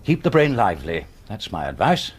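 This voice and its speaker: An actor playing a brisk, sardonic, superior British surgeon in a radio drama, with a dry lecturing manner. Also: male voice, fairly low pitch, sing-song, slightly rough. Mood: stern, wry.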